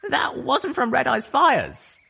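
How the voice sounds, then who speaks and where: A man's voice: very high voice, wildly swooping pitch, takes it slowly, vocal fry, sharp tone, husky, pitched above the performer's own voice, heavily acted. A man voicing a small, determined unicorn mare hero who talks earnestly.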